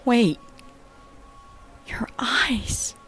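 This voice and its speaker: A man doing a panicked young woman in a horror audio story, frantic and pleading. She speaks in a raised, higher voice with breathless urgency. Faint music plays underneath. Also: drawn-out words, pushed and bright, in a whisper, exaggerated voice.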